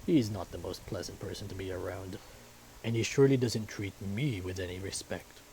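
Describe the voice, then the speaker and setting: A man's voice: low-pitched, a little fast, dark tone, a little hiss or hum, poor audio. A man voicing a loyal, friendly wolfman knight in a visual novel, casual and plain-spoken. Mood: earnest, annoyed.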